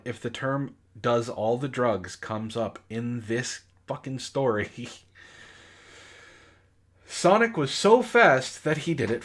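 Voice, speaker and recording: Guy reading cringe story out loud, voice all over the place, swears and gripes as he goes. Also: mid-range voice. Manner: swears, breaks off to react in exasperation. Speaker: host of a comedy book club reading. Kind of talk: casual read-aloud of bad fan fiction with amused commentary.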